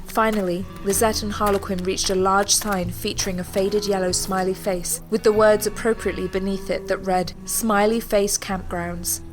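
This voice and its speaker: A woman narrates a scripted sci-fi audio drama. Her voice sits at a middle pitch. Music plays clearly behind her storytelling. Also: flat delivery, moderate pace, hardly any pauses, low-quality recording.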